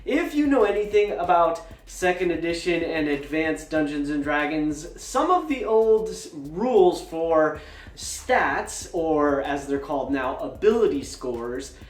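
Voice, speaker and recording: Male vlogger, 40s, casual storyteller, highly varied pitch, theatrical emphasis, roomy echoing recording. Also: lively intonation, measured pace. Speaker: tabletop gaming vlogger. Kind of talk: casual personal storytelling to camera.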